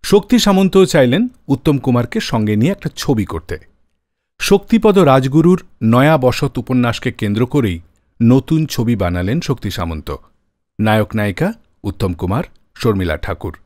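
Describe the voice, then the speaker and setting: Male radio host, scripted storytelling, expressive pitch, dramatic low emphasis, medium-pitched voice. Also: moderate pace, no echo.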